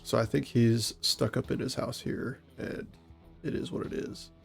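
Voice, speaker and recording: A man with a stuffy, congested voice giving calm, methodical commentary on a tactical game. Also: medium pitch, measured pace, vocal fry, breathy edge, soft background music. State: stuffy from a cold. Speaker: gaming channel host and SWAT operator.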